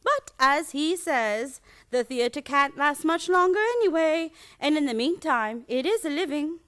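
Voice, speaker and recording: A woman's voice: high voice, expressive, a little slow, a bit bright. A woman playing a genteel, chatty, doting old aunt in a radio play, sweet and fussing as a hostess. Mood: fond, earnest. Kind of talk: stage-style radio play acting.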